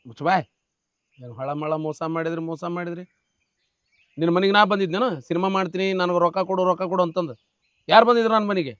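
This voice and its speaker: A man's voice: high voice, fairly quick, long pauses, a bit bright, clean tone, dry recording. A man playing a scornful fake film director. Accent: North Karnataka Kannada. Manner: scornful, mocking, bullying. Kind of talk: short film drama. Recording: dry, slightly dull, faint music.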